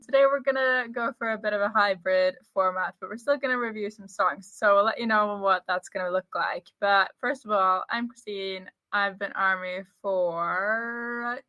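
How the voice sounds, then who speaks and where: 20s woman doing a chatty podcast, bright voice, giggly, normal pitch. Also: moderate pace, few pauses, lo-fi sound. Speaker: podcast co-host. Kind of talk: casual podcast chat.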